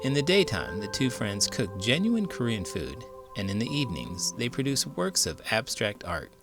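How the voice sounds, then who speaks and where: A man narrates a children's story as a read-aloud host. His voice is fairly low and very animated, with theatrical expression. Music plays behind him. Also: normal speed.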